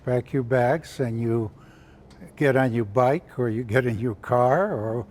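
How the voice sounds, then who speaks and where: A man in his nineties from Slovakia, a guest answering questions in a measured way, his sentences trailing off softly. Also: medium pitch. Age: 90s. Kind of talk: casual interview.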